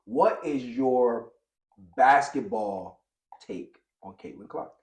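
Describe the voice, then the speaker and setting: A man's voice: mid-range voice, lively intonation, slow, roomy sound. A man co-hosting a sports podcast, asking questions casually and enthusiastically, repeating short affirmations. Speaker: podcast co-host.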